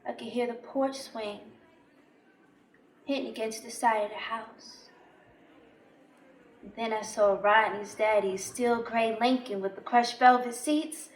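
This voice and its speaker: A woman playing an embittered, unhinged young woman jealous of her sister, telling her story slowly and swinging into bitter outbursts in a dramatic stage monologue. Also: on the high side. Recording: roomy echo, distant microphone.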